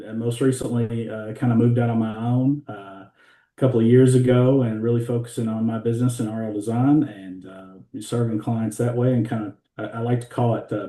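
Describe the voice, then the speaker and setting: A middle-aged man introduces himself in a relaxed, unhurried way, his dark, mellow voice broken up by frequent pauses. He sits well back from his mic in a roomy space, so the sound carries an echo over the video call. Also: mid-range voice, far from the mic. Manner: laid-back, many "uh" pauses, trails off softly. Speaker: guest designer. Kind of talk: casual self-introduction.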